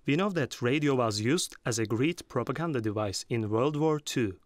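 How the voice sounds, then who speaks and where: A man's voice: medium pitch, animated, normal speed, a little hiss or hum. A man working as an interviewer, putting scripted questions to a guest.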